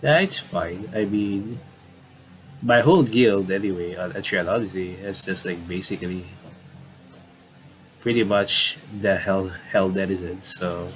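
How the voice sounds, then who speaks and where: A man with a fairly low voice talks his way through a video game in a casual style. He rambles and restarts as he reads the on-screen text aloud, recorded with some noise behind him. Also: rough recording. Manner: rambling, self-correcting, halting. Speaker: gaming video commentator. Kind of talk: casual playthrough commentary, reading game dialogue aloud.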